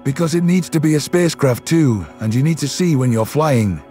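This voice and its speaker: A man plays a practical soldier in a sci-fi audio story. His voice is mellow and slightly high, matter-of-fact, over soft music. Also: animated, fairly quick, close to an everyday voice.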